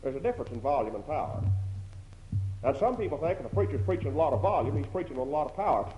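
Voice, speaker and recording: A man's voice: fairly high pitch, big pitch swings, quick pace, mellow, faint music behind. Strong-voiced male preacher in an echoey hall. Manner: emphatic preaching with repetition and rhetorical questions. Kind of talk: sermon. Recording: dull lo-fi recording in an echoey hall.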